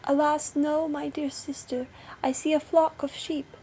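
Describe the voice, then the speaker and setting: A woman's voice: sits high, level tone, a little slow, mellow, voice pushed up. A woman voicing an anxious, watchful sister in a storybook tale, calling out what she sees. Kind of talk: storybook character voice.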